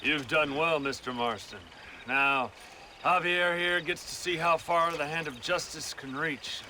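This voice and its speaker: An actor playing a commanding government agent in a Western game, speaking with an American accent in a formal, condescending way. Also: male voice, a little high, measured pace, noisy background, lightly acted. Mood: smug, businesslike.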